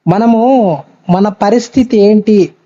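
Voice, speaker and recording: A man's voice: sits high, takes it slowly, dark tone. A man with a Rayalaseema Telugu accent giving earnest, admonishing advice as a preacher, repeating phrases and checking that the listener agrees. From Kurnool, Andhra Pradesh, India. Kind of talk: advisory phone conversation. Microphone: phone call. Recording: dull lo-fi phone call recording.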